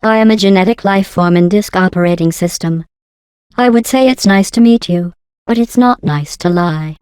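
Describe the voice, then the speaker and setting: A woman voicing a passive-aggressive female artificial intelligence in a video game, deadpan and mock-sweet, with synthetic robotic processing. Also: mid-range voice, in a large hall. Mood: mock-sweet menace. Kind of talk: scripted video game dialogue.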